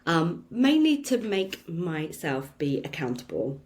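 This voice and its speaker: A woman hosting a solo podcast, talking in a chatty, reflective way with lots of "um"s and a slightly croaky voice. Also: lowish voice, big pitch swings, slow, darkish tone. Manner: chatty and reflective, many "um" fillers, laughs now and then. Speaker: podcast host.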